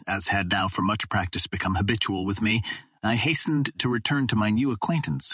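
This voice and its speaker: A man's voice: fairly low pitch, fairly bright tone, slightly rough, dry recording. A man reading a first-person adventure novel aloud as scripted audiobook narration. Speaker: audiobook reader.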